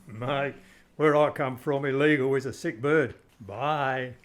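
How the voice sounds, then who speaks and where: A man's voice: mid-range voice, slow, close to an everyday voice. A man playing a cocky, cheerful spaceship mechanic in an amateur radio play. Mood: cocky, defiant. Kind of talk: radio drama acting.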